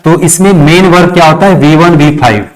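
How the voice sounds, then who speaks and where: Male teacher, Indian accent, slightly high voice, instructive, question-and-answer delivery. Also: fairly quick, poor audio. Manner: instructive, repeats key phrases, asks and answers own questions. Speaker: English grammar teacher. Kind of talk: classroom lesson, step-by-step explanation.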